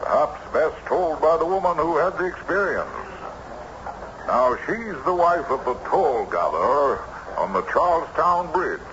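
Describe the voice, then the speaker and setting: A period gentleman spins an eerie tale in an old-time radio drama. He speaks slowly and formally, with theatrical swings of pitch and plenty of suspense. The recording is noisy and muffled. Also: very animated. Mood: measured.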